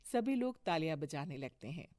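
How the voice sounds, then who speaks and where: A woman narrating a cartoon moral story in a steady storytelling voice. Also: sits low, moderate pace, no echo, studio quality.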